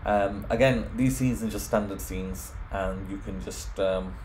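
A man's voice: fairly low pitch, steady tone, unhurried, a little hiss or hum, rough recording. A man presenting an informal home automation tutorial, explaining things step by step. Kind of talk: informal software screen walkthrough.

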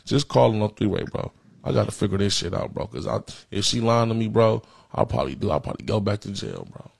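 A man's voice: fairly low pitch, expressive, fast talker, vocal fry, husky, dry recording. A man in his thirties on a prank phone call, talking in street slang with an insistent, repetitive, streetwise manner, close on a studio microphone. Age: 30s. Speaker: prank caller. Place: indoor streaming studio with backdrop. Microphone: close podcast microphone on a stand, headphones.

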